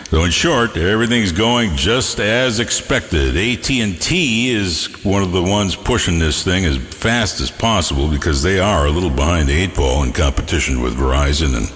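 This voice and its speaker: Male music-radio host, lowish voice, jokey patter, hammy asides, reverberant stream sound. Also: animated, moderate pace, hardly any pauses, fairly bright tone. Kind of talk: upbeat music radio DJ patter with news bits.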